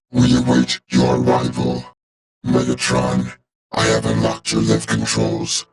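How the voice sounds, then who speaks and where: Giant robot officer: very gravelly, low, vocoded, cold and formal, clipped game-style status reports with pitch that jumps around. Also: male voice, exaggerated voice, rough recording. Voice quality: gravelly, synthetic monotone. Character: cold, logical giant male robot officer, loyal to his commander. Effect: robotic vocoder filter. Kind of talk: video game character voice acting.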